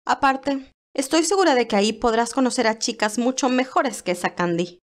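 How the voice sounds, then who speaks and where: A woman's voice: on the high side, normal speed, voice pushed up, natural voice. A woman voicing a haughty, scornful rich young woman, annoyed and exasperated, in an audio story.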